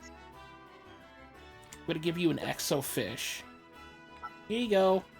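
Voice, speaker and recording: A woman's voice: lowish voice, sing-song, takes it slowly, vocal fry, darkish tone. Casual woman gamer over faint music. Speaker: game player. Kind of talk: casual gameplay chat.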